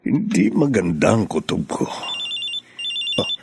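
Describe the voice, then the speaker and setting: A man's voice: fairly low pitch, wildly swooping pitch, a little slow, vocal fry, background noise, exaggerated voice. A man playing a stubborn, suspicious middle-aged widowed father in a Filipino radio drama. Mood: suspicious, uneasy.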